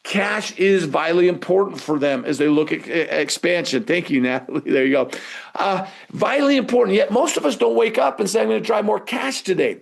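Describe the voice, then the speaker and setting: Guy running a live webinar, high voice with lots of creak, keeps asking the listeners questions. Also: lively intonation, a little fast. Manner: engaging listeners with rhetorical questions and prompts. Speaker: webinar instructor. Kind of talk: live webinar teaching, conversational.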